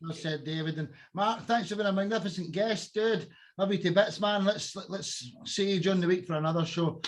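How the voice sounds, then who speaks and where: A man's voice: high-pitched, fairly quick. A man hosting a casual music podcast over a video call, enthusiastic and matey. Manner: enthusiastic, matey, calls people bro and dude. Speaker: host.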